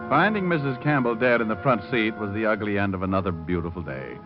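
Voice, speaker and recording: An actor playing a wry, hard-boiled private detective in an old radio drama, with sardonic, clipped delivery. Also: male voice, fairly low pitch, lively intonation, quick pace, close to an everyday voice. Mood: grim, wry. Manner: sardonic, clipped tough-guy wisecracks. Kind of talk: 1940s-50s radio detective drama acting. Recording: muffled old radio recording, music behind.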